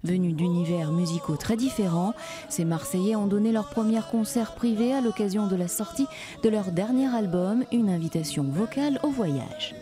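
A woman's voice: medium pitch, moderate pace. Woman presenting news over music. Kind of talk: scripted news narration.

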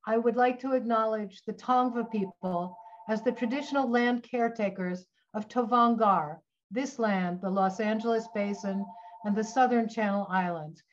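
A woman's voice: on the high side, normal speed, slight background noise. An older woman giving a prepared introduction as host of an event, speaking over a video call. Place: home office on a video call. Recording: dull, lo-fi video call audio.

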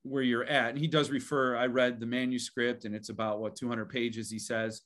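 A man hosting a book channel interview, enthusiastic and halting, full of fillers and restarts. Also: mid-range voice, level tone, fairly bright tone. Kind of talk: casual interview conversation.

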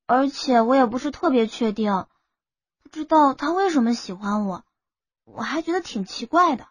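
Young female student in love, played by a woman, high, soft, slightly husky, shy but stubborn, audiobook romance. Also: lively intonation, normal speed, no room sound. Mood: unsure. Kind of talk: audiobook character acting.

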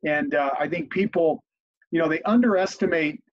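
A slightly high-pitched man in his forties talks in a relaxed, reflective way, circling back over his words as he gathers his thoughts. He is on a video call from a home office, and the webcam audio sounds dull and lo-fi. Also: moderate pace. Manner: reflective, repeats words while thinking. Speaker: company CEO, guest. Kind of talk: conversational interview.

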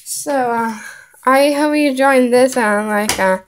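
A high-pitched woman speaks very slowly, pausing often and filling the gaps with "uh" and "um" as she names things one by one. She is filming a home tour on a handheld phone while walking through the rooms of a house. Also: a little hiss or hum. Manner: halting, many fillers, lists items one by one. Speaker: vlogger giving a home tour. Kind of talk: casual home tour narration. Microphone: handheld phone camera, filming while walking.

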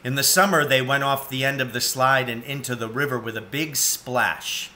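A man's voice: medium pitch, normal speed, pushed and bright, a little hiss or hum. A man in his forties reading a children's story aloud as narrator. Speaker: storybook reader. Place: cluttered room at home, indoors.

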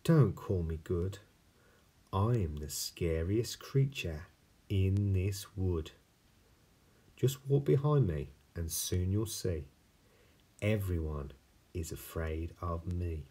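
A clever little brown mouse from a children's storybook, acted out by a man. He is polite and bold, speaking with animation in a husky voice. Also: low voice, lively intonation, slow, long pauses, warm tone, heavily acted. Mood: boastful.

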